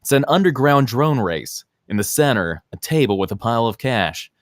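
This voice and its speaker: Animated man reading screenplay directions. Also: medium pitch, moderate pace, no echo, clean studio sound. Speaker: stage-direction reader. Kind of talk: scripted narration at a screenplay table read.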